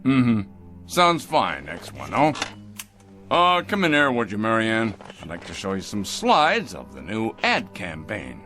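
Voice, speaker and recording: An actor playing a cold, pompous factory boss in a radio drama, with a theatrical, condescending manner. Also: male voice, fairly low pitch, wildly swooping pitch, a little slow, bright, forceful tone, soft background music, heavily acted. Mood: brisk, officious.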